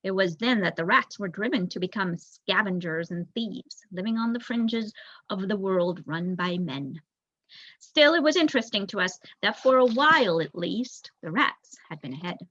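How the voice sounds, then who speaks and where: A woman's voice: mid-range voice, expressive, normal speed, fairly bright tone, dry recording. Woman reading as thoughtful bookish elder rat, muffled. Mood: pondering. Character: thoughtful, bookish male rat elder recounting his people's history. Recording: dull lo-fi recording.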